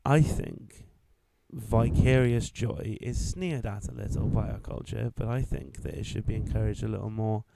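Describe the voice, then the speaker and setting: A man in his twenties co-hosting a podcast, chatting briskly and steering the talk. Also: fairly low pitch, fairly even intonation, vocal fry, very noisy. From Wales. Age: 20s. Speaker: podcast co-host. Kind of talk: casual chat.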